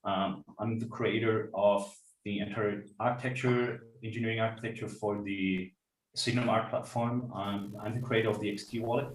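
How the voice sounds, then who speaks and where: A man's voice: on the low side, fairly even intonation, normal speed, slight background noise. German man on a distant roomy mic. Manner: rambling, self-correcting, laughs easily. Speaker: blockchain developer and stream co-host. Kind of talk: casual livestream chat. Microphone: computer mic on a video call.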